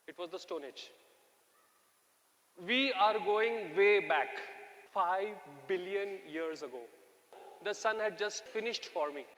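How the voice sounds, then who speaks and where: High bright-voiced man in a reverberant hall. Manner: storytelling, asks the audience questions. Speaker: speaker addressing a live audience. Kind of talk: lively talk to an audience.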